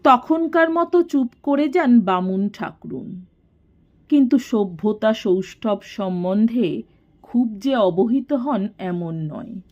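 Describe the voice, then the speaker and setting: A woman reading a literary story in a measured, expressive storytelling manner. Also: a little high, dull audio. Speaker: story narrator. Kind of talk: scripted literary audiobook narration.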